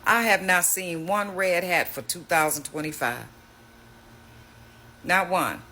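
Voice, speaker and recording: A man's voice: high-pitched, takes it slowly, bright, forceful tone, slight background noise. A man hosting a reaction video, talking casually in a mocking, slangy way.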